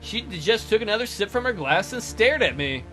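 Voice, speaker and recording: A woman's voice: mid-range voice, sing-song, a little fast, a bit bright. A woman let's play host reading visual novel narration aloud with irreverent, joking asides. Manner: irreverent, jokey. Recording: music behind the voice.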